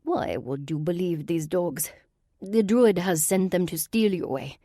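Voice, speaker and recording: A woman's voice: fairly low pitch, unhurried, dark tone, dry recording, clean studio sound. A woman voicing an elegant older sorceress, speaking serenely and coaxingly with a cold edge underneath. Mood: silky menace.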